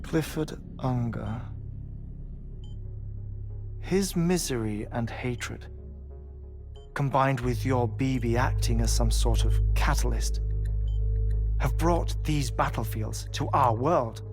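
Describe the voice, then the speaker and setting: A gentle, obsessive scientist, speaking slowly and thoughtfully in a soft, deliberate voice. Clear music runs under him in a game cutscene. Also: male voice, mid-range voice. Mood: musing. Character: gentle, obsessive male scientist with a weak heart.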